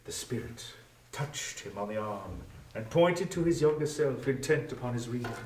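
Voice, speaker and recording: A man narrating a classic story as a dramatic, expressive scripted reading. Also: medium pitch, normal speed, slight background noise. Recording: echoey room, distant from microphone.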